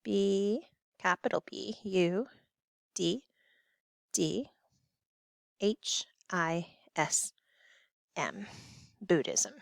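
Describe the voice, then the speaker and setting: Female elementary teacher, recorded lesson for children, slow and simple directions, dry close recording. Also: mid-range voice, gravelly, dry recording. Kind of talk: recorded classroom lesson, step-by-step instruction.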